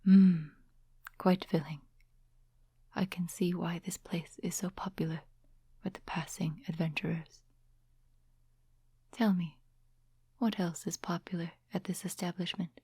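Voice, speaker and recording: A woman playing a composed, courteous, quietly mysterious witch in a soft-spoken ASMR fantasy roleplay, with a whispery, breathy voice and gentle, formal, unhurried phrasing. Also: fairly low pitch, steady tone, no room sound, full character voice. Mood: content, curious.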